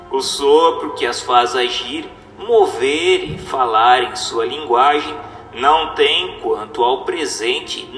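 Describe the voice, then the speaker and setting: A man's voice: a little high, pushed and bright. A man giving a dramatized, oratorical reading of a scripted text in an emphatic, didactic manner. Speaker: narrator reading a spiritist text. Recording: echoey hall reverb with music. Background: music.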